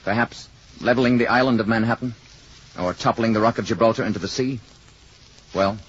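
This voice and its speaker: An actor playing a courteous alien emissary in human guise, precise and formal, quietly menacing, in an old radio drama. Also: male voice, lowish voice, slightly rough. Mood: calm, firm. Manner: precise, formal, measured diction. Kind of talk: 1950s radio drama acting. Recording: muffled old radio recording, faint noise.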